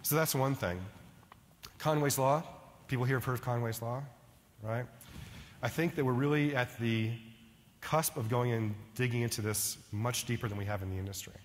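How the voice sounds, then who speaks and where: A man's voice: mid-range voice, moderate pace, long reverb tail. A middle-aged man giving a conversational conference keynote in a large ballroom, often checking in with "right?". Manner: conversational, frequent "right?" and "like" fillers. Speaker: keynote speaker.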